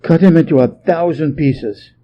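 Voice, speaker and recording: A man's voice: on the high side, moderate pace, highs cut off, voice pushed up, natural voice. A man voicing an imperious celestial emperor in a storybook, with a formal, commanding manner. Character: stately male celestial emperor, imperious and easily dismayed.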